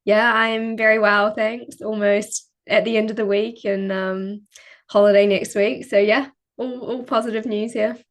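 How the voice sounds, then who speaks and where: A young woman talks casually as a podcast guest. She sounds relaxed and modest and often pauses with "um" and "like". Her voice sits at an ordinary middle pitch. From New Zealand. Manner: relaxed, modest, frequent ums and likes. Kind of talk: casual interview chat.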